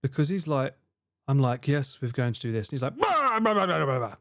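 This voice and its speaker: A man talks to his stream audience in a loose, joking way, laughing often and swearing without fuss. His voice sits a little high for a man. It is picked up close on a dry mic with no room sound. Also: big pitch swings, fairly quick, a bit bright, no room sound. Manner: laughs easily, swears casually, chats to viewers. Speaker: streamer reviewing viewer clips. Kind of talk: casual live-stream chat.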